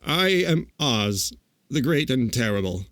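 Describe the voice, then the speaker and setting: Guy doing a little old fake wizard for a storybook, meek n trembly, pitch all over the place. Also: animated, moderate pace, fairly bright tone, dry recording, studio quality. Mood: frightened.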